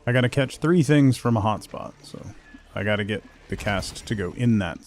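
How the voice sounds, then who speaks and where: A man's voice: fairly low pitch, lively intonation, moderate pace. A man doing casual solo commentary on a gaming stream, muttering to himself with playful asides. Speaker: game streamer.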